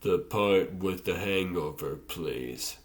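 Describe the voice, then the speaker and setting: Comic-dub occult villain: male actor as soulless gentleman, extremely deep, slow, dry and courteous. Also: a little hiss or hum. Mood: dry, composed. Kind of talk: comic dub character acting.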